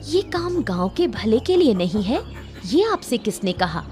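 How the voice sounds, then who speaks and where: A woman's voice: on the high side, sing-song, measured pace, a little mellow, soft background music. A woman playing a brave young village daughter in a cartoon, challenging and defiant.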